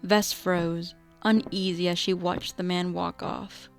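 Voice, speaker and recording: A woman's voice: fairly low pitch, little pitch movement, takes it slowly. Woman narrating a radio drama over music.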